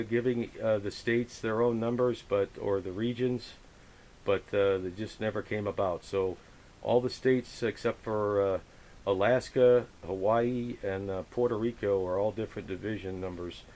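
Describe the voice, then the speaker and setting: A man operating a radio and hosting a contact, chatting casually and chummily in a halting, hesitant way. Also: on the low side, steady tone, a little mellow. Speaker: amateur radio operator running the frequency. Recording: hissy, noisy radio recording. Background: radio static hiss.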